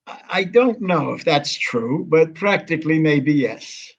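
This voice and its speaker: A man in his nineties talks in a rambling, halting way, breaking off and restarting his sentences as he reminisces. His voice sits a little high for a man, and the audio is muffled and lo-fi. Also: animated. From United States. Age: 90s. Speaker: interview guest. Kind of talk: conversational reminiscing.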